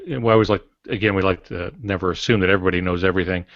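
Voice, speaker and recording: Deep-voiced man, dry muffled recording. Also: steady tone, racing, no room sound. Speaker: podcast co-host. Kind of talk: casual explanatory chat.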